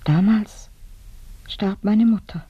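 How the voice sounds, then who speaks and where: A woman's voice: mid-range voice, slow, dark tone, slightly rough. An actress playing a dead madwoman who murmurs a dreamy monologue to herself in her grave, in a German radio play. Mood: mournful. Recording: muffled, echoing binaural studio recording.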